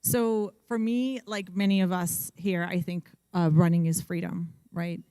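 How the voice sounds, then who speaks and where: Woman, low mellow voice, reflective personal storytelling, interview guest. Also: steady tone, measured pace, clear, resonant tone, no echo. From Colombia. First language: Spanish. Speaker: guest, runner and immigration lawyer. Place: live event stage.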